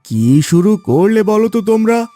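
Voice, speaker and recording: A man's voice: high-pitched, sing-song, measured pace, dark tone, faint music behind. A man voicing an exasperated young village farmer husband in a cartoon, with a theatrical, pleading manner. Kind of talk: Bengali cartoon voice acting.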